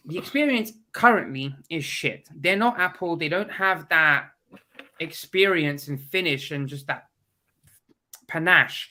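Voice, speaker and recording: Bright-voiced man with a stuffy cold. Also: a little high. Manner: animated and opinionated, blunt. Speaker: guest. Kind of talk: casual chat.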